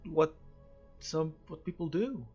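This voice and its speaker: Higher-voiced man, casual gaming commentary. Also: slow, a little mellow. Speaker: gamer playing and reacting. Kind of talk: casual let's play commentary. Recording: dull lo-fi mic over game music.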